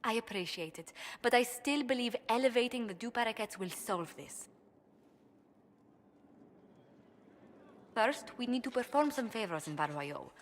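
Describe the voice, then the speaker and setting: An actress playing a refined, earnest ambassador in a video game, speaking politely and formally. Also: female voice, fairly high pitch, animated, normal speed, close to an everyday voice. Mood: resolute. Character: refined, earnest, diplomatic young female ambassador. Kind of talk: video game cutscene acting. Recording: long hall reverb, faint noise.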